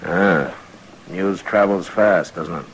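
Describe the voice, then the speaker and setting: Western radio marshal: low American voice, weary, steady, laconic, noisy vintage recording. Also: male voice. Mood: dry, wary. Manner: measured, hesitant pauses, laconic. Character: steady, weary frontier US marshal, middle-aged man. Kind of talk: old-time radio western acting.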